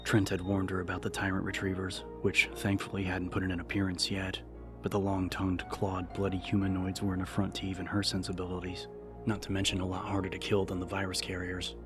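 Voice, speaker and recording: A man narrates a dramatic audiobook in a low voice. His intonation stays very flat throughout the scripted reading. Music is clearly audible behind him. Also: brisk.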